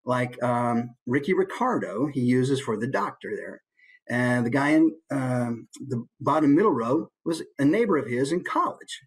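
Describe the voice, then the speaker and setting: A man's voice: mid-range voice, expressive, unhurried, breathy edge, rough recording. A man with an American accent giving an informal, conversational instructional talk as a voice actor. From United States. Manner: conversational, demonstrates as he explains.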